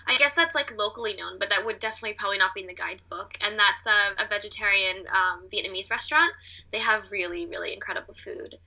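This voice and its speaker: Chatty American woman, very bright, creaky voice. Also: medium pitch, fast, low-quality recording. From Virginia, United States. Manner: chatty, laughs easily. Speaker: interview guest, artist living abroad. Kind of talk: casual interview chat.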